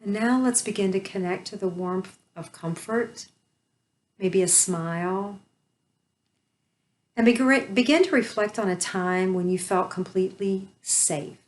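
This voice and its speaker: A woman leading a guided meditation, speaking softly and soothingly with long pauses. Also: mid-range voice.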